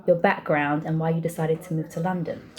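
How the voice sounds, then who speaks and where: A woman with a voice a little on the low side hosts a podcast. She presents and asks questions in an interview style. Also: fairly even intonation, brisk, a little mellow. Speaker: podcast host.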